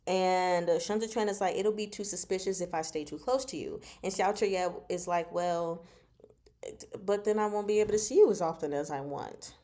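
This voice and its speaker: Expressive woman chatting casually. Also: on the low side, moderate pace. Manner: expressive, giggly, exclaiming. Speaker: host of a book discussion livestream. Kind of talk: casual chat, discussing a novel with live viewers.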